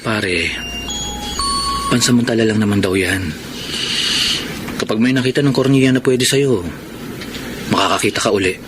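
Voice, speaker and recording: An actor playing a steady, reassuring male friend in a Filipino radio drama. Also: fairly low pitch, moderate pace, long pauses, background noise, close to an everyday voice. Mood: consoling. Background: loud music.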